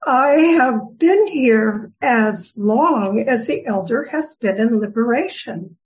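A woman reading the part of a serene, wise goddess who gently teases, in a formal scripture reading with deliberate delivery. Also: fairly high pitch, expressive. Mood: gently teasing. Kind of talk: dramatic reading of Buddhist scripture. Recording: dull, lo-fi recording.

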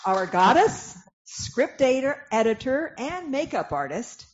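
A woman's voice: high-pitched, very animated, a little slow. Woman narrating formally over noisy audio. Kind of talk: formal scripted narration and announcing. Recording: dull lo-fi sound, clear background noise.

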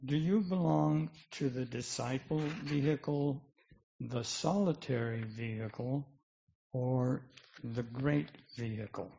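A man reading the part of an earnest, puzzled Buddhist monk in a scripture drama, with halting, formal phrasing. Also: medium pitch, creaky, mellow. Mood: earnest, questioning. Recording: dull, lo-fi recording.

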